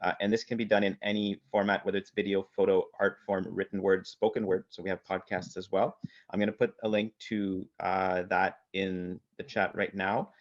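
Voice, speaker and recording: A man's voice: deep voice, flat delivery, normal speed. A man making an informal, hesitant announcement at an online event, over a web call. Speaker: campaign presenter. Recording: dull lo-fi web call audio.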